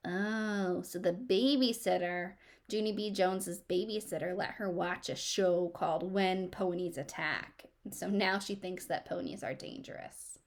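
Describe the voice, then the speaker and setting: A woman reading aloud to children as a teacher, expressive, pausing to ask questions and explain things simply. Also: lowish voice.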